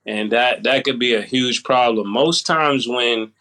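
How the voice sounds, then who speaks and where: A man's voice: mid-range voice, a little slow, bright, forceful tone. A man co-hosting a podcast in a studio, loose and joking, calling out catchphrases. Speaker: podcast co-host. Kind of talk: casual chat.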